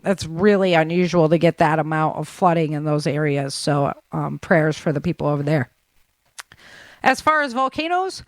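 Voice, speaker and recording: A woman's voice: medium pitch, a bit bright, dry recording. A woman hosting a podcast in a chatty, informal way, moving between news rundown and casual talk. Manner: chatty, informal, addresses listeners as guys. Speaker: podcast host.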